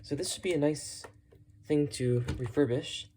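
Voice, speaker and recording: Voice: mid-range voice, a little slow, warm tone. Casual hobbyist musing aloud, some background noise. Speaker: radio collector presenting a thrift find. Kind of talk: casual hands-on narration while working.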